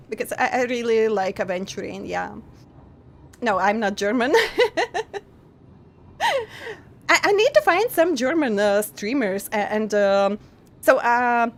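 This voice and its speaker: A young woman streaming a game and chatting casually with her viewers, giggling often and pausing to restart her sentences. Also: on the high side, slight background noise. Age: young adult. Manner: giggly, halting with many pauses and restarts.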